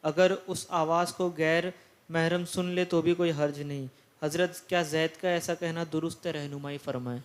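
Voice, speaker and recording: Man, flat intonation, higher-pitched, formally reading a question aloud. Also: normal speed.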